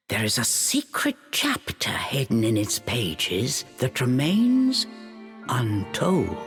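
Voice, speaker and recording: A woman narrating a fairytale-like video game story in a measured, storybook manner. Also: sits low.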